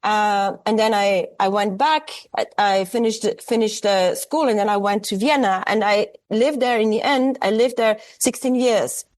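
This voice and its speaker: A woman's voice: mid-range voice, normal speed, hardly any pauses, a little mellow. A woman in her forties from Austria with an Austrian German accent, a German native speaker, reflecting thoughtfully as an artist guest, hesitant with many fillers and restarts. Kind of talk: reflective interview conversation.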